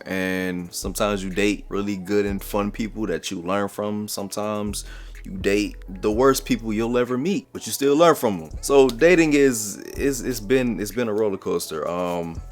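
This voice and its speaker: Low-voiced young man giving casual advice. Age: 20s. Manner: relaxed, slangy, repeats words as he thinks. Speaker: vlogger. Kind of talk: casual advice talk to camera.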